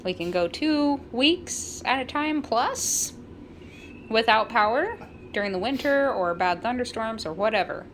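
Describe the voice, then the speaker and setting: A woman's voice: on the high side, animated, a little slow, fairly bright tone, slight background noise. An American woman co-hosting with casual, conversational explanation and a wry manner. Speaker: co-host.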